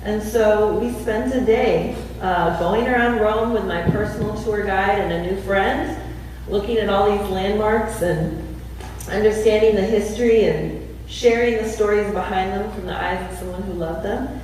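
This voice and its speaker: A woman preaching a sermon in a church, telling a story and slowing down to stress key words. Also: mid-range voice, a little mellow, slight background noise. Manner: storytelling with slowed emphasis. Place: church sanctuary. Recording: echoey church hall from far off.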